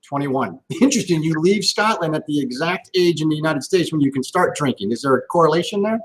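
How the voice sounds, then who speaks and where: A man's voice: on the high side, expressive, fast, poor audio. A man hosting a live-streamed interview over a video call, upbeat, chatty and jokey. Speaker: host.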